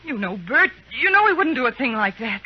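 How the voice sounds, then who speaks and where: A woman's voice: high-pitched, big pitch swings, fairly quick. An American woman acting a desperate, pleading young frontier woman in a radio western, urgent and emotional. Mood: pleading, insistent. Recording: dull, noisy old radio recording.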